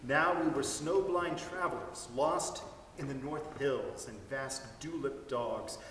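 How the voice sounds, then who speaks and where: A man giving an expressive, savoring dramatic reading of a story. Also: medium pitch, slow pace, slight background noise. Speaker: reader. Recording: echoey hall from far off.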